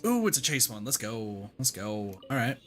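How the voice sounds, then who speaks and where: Guy streaming a game, chatty and muttering, lively up and down voice, game music behind. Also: mid-range voice, normal speed. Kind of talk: casual livestream game commentary.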